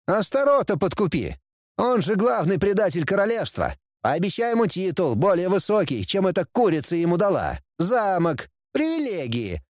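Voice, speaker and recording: A man's voice: high-pitched, sing-song, moderate pace, no room sound, studio quality, voice pushed up. A man voicing a bratty young demon prince in a storybook reading, with an insolent, whining, taunting manner. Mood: demanding. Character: bratty, cheeky young demon prince, mocking his father.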